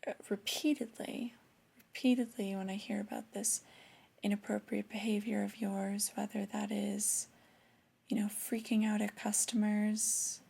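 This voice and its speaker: A woman playing a displeased but calm female boss in a soft-spoken ASMR roleplay, with a breathy voice and measured, pausing delivery. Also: medium pitch, exaggerated voice. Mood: stern, measured. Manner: hesitant, measured, with drawn-out pauses.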